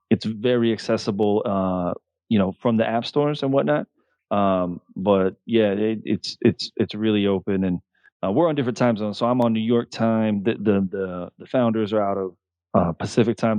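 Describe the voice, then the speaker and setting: A man appearing as a guest on a casual podcast, talking in a relaxed, friendly way with lots of "um" and "you know". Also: fairly low pitch, no echo, dull audio.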